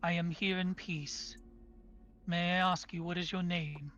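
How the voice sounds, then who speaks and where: A player doing a hoarse male peacemaker, formal and imploring, unhurried, for a tabletop game. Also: medium pitch, steady tone, fairly bright tone, no room sound, muffled recording, lightly acted. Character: male diplomat adventurer pleading for peace.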